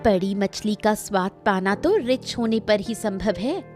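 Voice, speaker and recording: A woman's voice: mid-range voice, animated, unhurried, close to an everyday voice. A woman voicing a proud woman of a rich family in a cartoon story, who speaks in a cutting, snobbish way. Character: proud, sharp-tongued woman of a rich house. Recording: soft background music.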